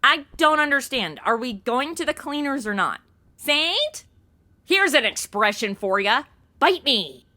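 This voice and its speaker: Brash, high-pitched ditzy young woman. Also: big pitch swings, measured pace, bright, forceful tone, full character voice. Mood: petulant. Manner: blunt, slangy, valley-girl phrasing. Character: brash, ditzy modern young woman stranded in 1940s mysteries. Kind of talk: comedic audio drama acting.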